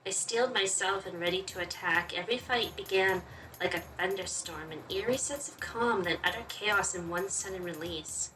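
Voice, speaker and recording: A woman with a bright, forward voice reads visual novel narration aloud as a gaming host. She moves between storytelling and playful, casual commentary. The mic sits slightly away from her, with faint noise behind. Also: lowish voice, normal speed, far from the mic, low-quality recording.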